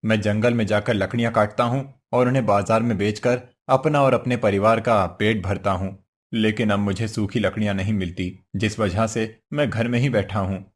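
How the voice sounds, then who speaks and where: A man's voice: on the low side, fairly even intonation, normal speed, lightly acted. A man voicing a poor, simple woodcutter husband in a cartoon story, earnest, meek and fretful. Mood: kindly, earnest. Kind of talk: Hindi cartoon story voice acting.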